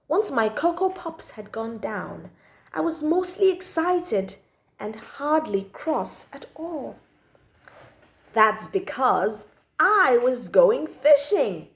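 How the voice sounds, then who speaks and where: A woman reading a children's story aloud in an expressive, emphatic storytelling style. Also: sits high. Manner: animated, emphatic, acts out the child narrator's feelings. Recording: echoey room.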